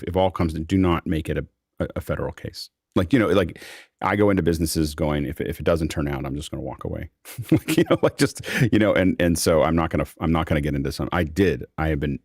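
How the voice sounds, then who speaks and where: A man's voice: a bass voice, darkish tone, no room sound, clean studio sound. A man hosting an online panel in a casual, off-the-cuff way, often repeating words and restarting sentences. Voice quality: gravelly, creaky. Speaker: host of an online panel discussion. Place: online video call, close dry sound.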